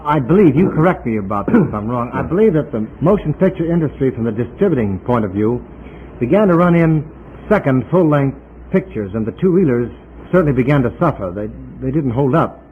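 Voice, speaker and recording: Thin, noisy vintage radio sound carries a man working as an interviewer. His pitch moves around in an animated way as he asks long, conversational questions. He listens attentively and interjects often. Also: a little fast. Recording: noisy, tinny 1950s radio interview recording. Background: clear hiss and noise.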